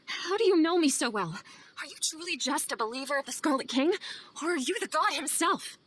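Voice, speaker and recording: A woman's voice: high voice, animated, moderate pace, a bit bright. An actress voicing a weary, anxious female scholar in video game dialogue, earnest, hesitant and confessional in manner. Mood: curious. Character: guilt-ridden female scholar, weary, anxious assistant to sage. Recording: game audio over clear ambient noise.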